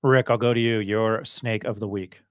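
A man's voice: lowish voice, fairly even intonation, normal speed, no echo. A middle-aged man hosting a casual sports podcast with irreverent, slangy banter, speaking close on a podcast mic. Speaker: podcast host. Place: home studio, indoors. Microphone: close podcast mic on boom arm.

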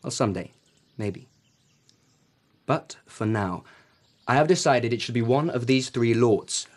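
Whimsical, mid-pitched Japanese emperor. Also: male voice, lively intonation, a little slow. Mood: breezy, eager. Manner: breezy, playful, regal. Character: whimsical, romantic Japanese emperor who loves old tales. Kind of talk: radio drama acting.

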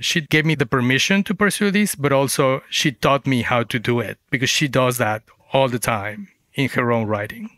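A man's voice: medium pitch, bright, forceful tone, smooth, dry recording, studio quality. A man from Mexico, a native Spanish speaker with a Mexican accent, telling his story conversationally as an interview guest. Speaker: guest author.

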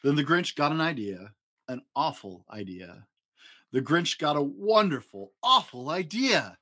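A man's voice: fairly high pitch, very animated, measured pace, sharp tone. A man reads a children's story expressively, with dramatic, playful emphasis. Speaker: dad storyteller, host. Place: small indoor home studio room.

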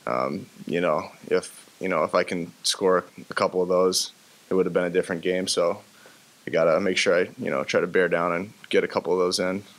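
Young man, very low flat voice. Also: rough recording. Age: young adult. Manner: low-key, halting, many fillers. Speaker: college hockey player. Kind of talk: postgame press conference. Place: press room with sponsor backdrop. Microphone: table microphone.

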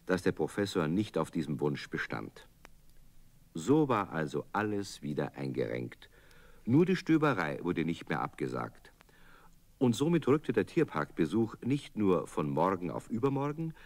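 A man's voice: deep voice, darkish tone, dry recording. A man narrating a children's radio play as a scripted storyteller.

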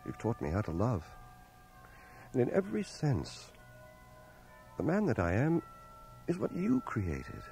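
An actor playing an earnest, reserved young war veteran in a radio drama, measured, hesitant and bookish. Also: male voice, mid-range voice, wildly swooping pitch, gravelly, warm tone, exaggerated voice. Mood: earnest, tender. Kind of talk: 1980 radio drama acting and first-person narration. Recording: cassette transfer of radio drama, faint music.

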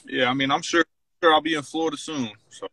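A man's voice: mid-range voice, steady tone, fast, bright, forceful tone, no room sound, rough recording. A young man hosting a phone livestream from his car, laid-back and slangy. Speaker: livestream host. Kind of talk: casual chat. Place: parked car.

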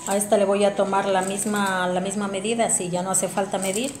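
A woman presents an informal craft tutorial, talking straight to viewers as she explains the steps. Her voice is mid-pitched and echoes in a domestic room, with music playing behind her. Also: flat delivery, brisk, a little mellow. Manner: explanatory, addressing viewers directly. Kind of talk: informal hands-on tutorial narration. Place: small domestic room indoors. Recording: roomy echo, music behind.

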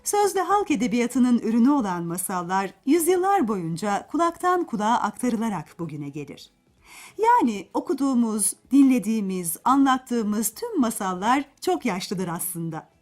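Animated woman storyteller for children. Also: fairly high pitch, normal speed, soft background music. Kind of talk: expressive scripted narration of a folk tale for children.

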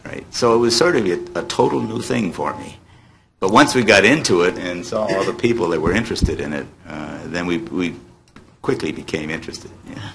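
An American man in his seventies speaks in a low, creaky voice, telling stories to an audience from a panel table. He draws out key words with relish, and there is light noise in the recording. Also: lively intonation, normal speed, a bit bright. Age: 70s. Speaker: former child radio actor on a panel. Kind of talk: reminiscing to an audience, taking questions. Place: hotel meeting room, panel table. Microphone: table microphone on stand. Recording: noisy hotel meeting-room amplification.

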